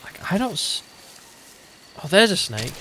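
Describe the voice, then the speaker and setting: A woman's voice: fairly low pitch, very animated, measured pace, a bit bright. A woman giving casual gameplay commentary, thinking aloud and exclaiming at what she sees. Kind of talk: casual let's play commentary. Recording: noisy, game ambience behind.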